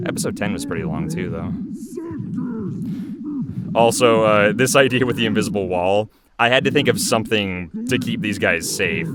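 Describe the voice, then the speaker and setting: A man giving casual, unscripted creator commentary on his own animation in a hesitant, rambling, self-effacing way. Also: fairly low pitch.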